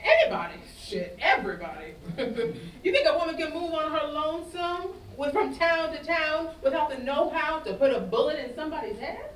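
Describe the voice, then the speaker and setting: A woman's voice: high-pitched, lively intonation, moderate pace. A woman acting a bold, teasing, tough gun-toting woman in a staged play reading. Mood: teasing defiance. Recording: roomy, distant stage recording with faint noise.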